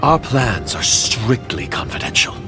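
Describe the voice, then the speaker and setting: An actor playing a wary, condescending conspirator in a video game, speaking in a measured, cautious way. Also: male voice, fairly low pitch, big pitch swings. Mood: suspicious. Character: wary, secretive, condescending male foreign conspirator. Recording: music under the voice. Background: game music.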